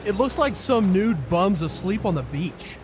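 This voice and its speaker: Haughty high-pitched 1980s yuppie husband. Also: male voice, sing-song, a little slow, noisy background. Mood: dismissive. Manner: haughty, dismissive. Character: smug 1980s corporate executive husband out jogging. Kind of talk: audio drama acting.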